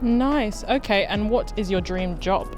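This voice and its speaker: A woman interviewing a student, asking quick questions with brief, friendly replies like "nice". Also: mid-range voice, music in the background.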